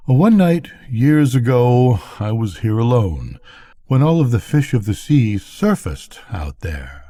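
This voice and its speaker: A man's voice: mid-range voice, big pitch swings, slow, mellow, no room sound, clean studio sound. A man voicing a musing, folksy, lonely lighthouse keeper in a bedtime storybook reading. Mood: musing wonder. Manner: thoughtful, folksy.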